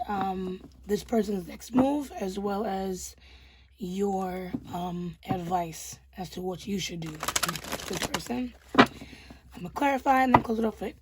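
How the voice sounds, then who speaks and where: A young adult woman with a hoarse, tired voice, giving a gentle, reassuring tarot reading to her viewers with frequent ums. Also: mid-range voice, noisy background. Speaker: tarot reader. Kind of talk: casual tarot reading to camera.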